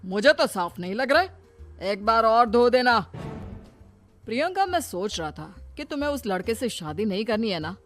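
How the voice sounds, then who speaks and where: A woman voicing an older male head of the family in an animated moral story, explaining things in an instructive way. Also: fairly high pitch, moderate pace. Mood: demanding. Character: older male family patriarch, scheming, reproving, sensible. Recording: music under the voice.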